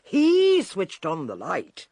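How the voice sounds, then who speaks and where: An actress playing a shrewd, eccentric older British lady detective in a radio drama, theatrical, deliberate and drily amused. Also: fairly low pitch, huge pitch swings, warm tone, no room sound, heavily acted. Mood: shrewd, explaining.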